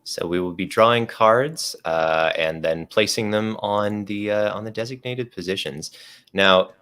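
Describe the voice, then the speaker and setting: A man with a very low voice and a bright, forceful edge explains a tarot game. He talks casually and jokes at his own expense. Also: a little slow, clear, resonant tone. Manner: self-deprecating, laughs at himself. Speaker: guest game runner.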